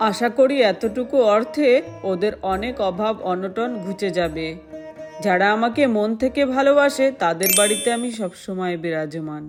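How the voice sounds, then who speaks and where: A woman's voice: mid-range voice, animated, normal speed, soft background music, close to an everyday voice. A woman voicing a hungry, kindly boy god in a doll story, with an eager, earnest, childlike manner. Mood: solemn, benevolent.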